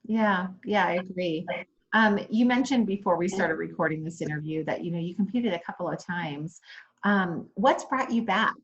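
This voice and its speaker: A woman's voice: fairly low pitch, normal speed. Chatty interviewer woman, dull lo-fi sound. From Hawaii. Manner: upbeat and chatty, affirming answers. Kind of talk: friendly interview.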